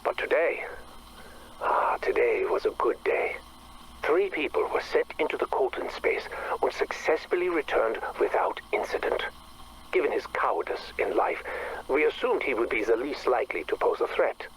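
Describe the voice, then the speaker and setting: A man playing an obsessive, bitter scientist in a game audio log, speaking formally and precisely with a breathy, brooding delivery. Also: medium pitch, animated, normal speed, a bit bright, slight background noise, poor audio. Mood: formal, measured. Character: obsessive, bitter male scientist recording private audio logs.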